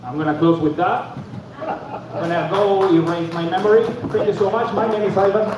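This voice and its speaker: A man from Argentina, doing a deadpan, self-deprecating open-mic stand-up set and talking back to the audience. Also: high voice, moderate pace. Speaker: stand-up comedian. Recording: echoey room from far off, dull, noisy.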